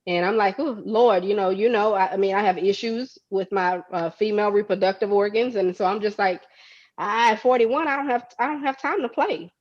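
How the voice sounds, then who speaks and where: A woman in her forties, an interview guest talking candidly in an earnest, reflective way, sometimes starting with halting restarts. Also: medium pitch, highs cut off. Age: 40s. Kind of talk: candid conversation.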